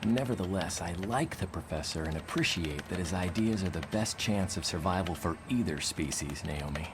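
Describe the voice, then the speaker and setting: A man's voice: low-pitched, animated, normal speed, noisy background. A man playing an earnest, lovestruck intellectual mutant in a motion comic, articulate and confiding. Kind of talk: motion comic letter narration.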